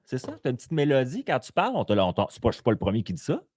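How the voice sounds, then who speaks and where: A playful Québécois man hosts a live podcast from a small studio. His voice swings widely in pitch as he teases and exclaims. The sound is close and dry. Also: huge pitch swings, rapid-fire, a little mellow, no room sound. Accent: Québécois French. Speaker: podcast host. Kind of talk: lively live podcast interview.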